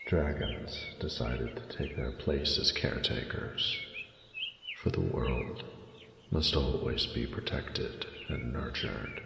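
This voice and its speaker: Very deep, gravelly ancient dragon. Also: male voice, heavily acted. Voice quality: deep gravelly, often breathy. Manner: slow, grandiose, formal, gently commanding. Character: ancient male dragon, proud, tender mentor and lover. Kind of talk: scripted fantasy roleplay audio drama. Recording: echoey cavernous reverb with ambient noise.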